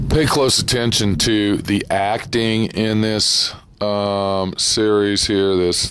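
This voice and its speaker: A man with a low voice gives a casual host's introduction. He takes his time, very slowly, and repeats words to stress them. The sound is dry and close. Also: a bit bright, clean tone, no room sound. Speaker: host introducing old radio episodes.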